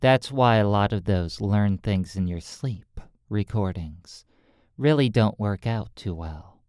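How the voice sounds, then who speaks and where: Slow, dry-recorded hypnotist, soothing and deliberate. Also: sits low, lively intonation, a little mellow, dry recording. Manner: soothing, deliberate, pausing between short phrases. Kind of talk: sleep hypnosis roleplay, soft guided narration.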